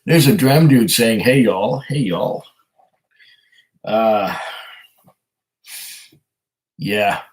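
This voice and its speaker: A man with a hoarse voice from a cold, hosting a casual live stream, reading viewer comments and chatting in a rambling, hesitant way. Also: lowish voice, very slow, long pauses, poor audio. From Canada. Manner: rambling, hesitant, chuckles often. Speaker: whiskey channel host reading live chat.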